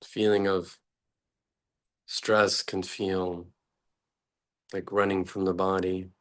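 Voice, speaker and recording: A man leading an unscripted guided meditation as a teacher, speaking haltingly in short phrases with long pauses between them. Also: low voice, flat delivery.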